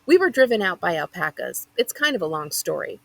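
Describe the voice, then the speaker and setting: A self-conscious new boy at middle school , voiced by a woman reading aloud. He blurts things out wryly, in a raspy, creaky voice suited to a children's audiobook. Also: fairly low pitch, sing-song, brisk, a bit bright, no echo, heavily acted. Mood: blurting. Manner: wry, blurting. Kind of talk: audiobook character dialogue.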